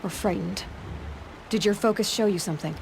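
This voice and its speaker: A woman's voice: mid-range voice, brisk, close to an everyday voice. An actress playing a blunt, inquisitive young outcast woman in a video game, speaking directly and probingly. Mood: suspicious. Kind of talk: acted video game dialogue. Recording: clearly noisy, ambient background.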